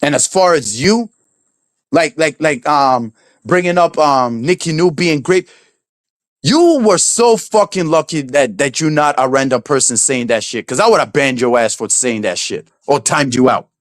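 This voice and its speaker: A man's voice: on the high side, expressive, moderate pace, dry recording, studio quality. Heated Crucian-accented man ranting. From St. Croix, US Virgin Islands. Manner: heated, profane, taunting and threatening. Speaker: livestreamer. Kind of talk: angry livestream rant. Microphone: streaming setup.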